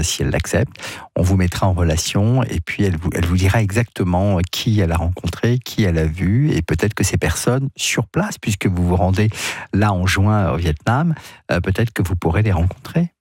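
A man hosting a radio call-in show, speaking calmly and reassuringly and repeating words as he goes. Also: low-pitched, fairly quick, breathy edge, no room sound, studio quality. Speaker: radio host.

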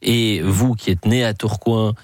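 A man's voice: on the low side, steady tone, unhurried, a little mellow, no echo, clean studio sound. A man hosting a radio talk show, speaking earnestly in long, winding sentences. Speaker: radio host. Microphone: studio microphone.

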